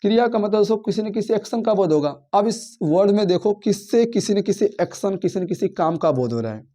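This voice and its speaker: High-pitched, mellow-voiced male teacher. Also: lively intonation, brisk. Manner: addresses listeners as friends, asks and answers his own questions; counts words aloud, repeats and rephrases points. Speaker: school English teacher. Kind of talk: classroom grammar lesson.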